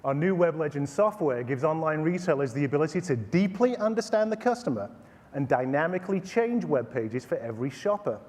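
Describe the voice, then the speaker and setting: A man's voice: fairly high pitch, expressive, brisk, a little mellow. A man in his forties with a Texas accent, a company CEO giving a staged product demo with playful, showman-like asides. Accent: Texan. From Texas, USA. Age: 40s. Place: conference stage in a large hall. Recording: reverberant conference hall.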